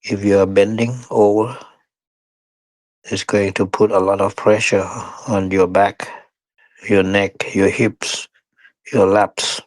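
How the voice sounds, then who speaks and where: A man teaching guided meditation in short, unhurried phrases with pauses and non-standard English grammar. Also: on the low side, level tone, darkish tone, no echo, rough recording.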